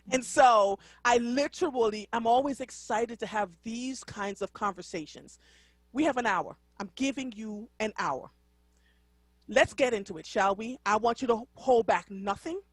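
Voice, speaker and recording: A woman's voice: fairly high pitch, unhurried, husky, no room sound. A middle-aged woman from Jamaica with a Jamaican accent, hosting a live radio talk show in an effusive, exclamatory way and laughing often.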